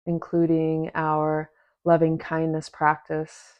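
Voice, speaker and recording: A woman teaching a guided meditation in a gentle, unhurried manner, leaving long pauses between short phrases. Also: low-pitched, completely flat delivery, highs cut off. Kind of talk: guided meditation, soft instruction.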